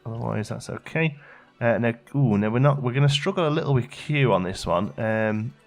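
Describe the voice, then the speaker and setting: A man giving casual, low-key gameplay commentary as a video host, thinking aloud and muttering to himself as he works. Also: moderate pace, faint music behind. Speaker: let's play video host.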